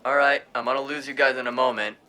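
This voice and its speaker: A male actor as a harried tech guy from a cyberpunk audio drama. The voice is medium in pitch and sharp-edged, with a casual, slangy, irreverent delivery. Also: steady tone, fast talker, close to an everyday voice. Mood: harried, focused. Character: harried, irreverent male tech hacker feeding hurried instructions.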